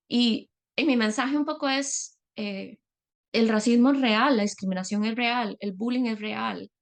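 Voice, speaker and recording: A middle-aged woman from Latin America giving a personal talk as a guest speaker, reflective and earnest, often pausing on fillers. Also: mid-range voice, gravelly.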